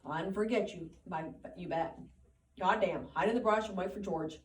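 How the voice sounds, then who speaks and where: A woman reading aloud and voicing a big, simple-minded man who pleads in a loud rural drawl, heard from a distance in a roomy space. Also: fairly low pitch, moderate pace, slight background noise, natural voice. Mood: sad.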